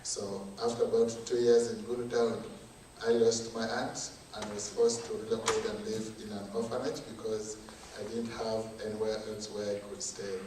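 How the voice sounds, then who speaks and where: A young Ugandan man from northern Uganda giving a measured, earnest personal testimony as a conference speaker. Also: lowish voice, little pitch movement, background noise. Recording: echoey hall from far off.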